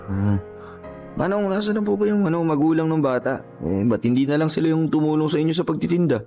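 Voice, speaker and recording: A man's voice: a little high, expressive, quick pace, mellow, soft background music. An actor playing a friendly, sympathetic younger man, a chatty and respectful customer with a Filipino accent, in a radio drama. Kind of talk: Tagalog radio drama acting.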